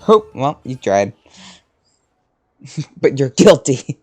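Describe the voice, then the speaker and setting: A man's voice: mid-range voice, very animated, slow, a little mellow, faint music behind. A man casually reacting to a game as he plays, with playful interjections. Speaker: game streamer.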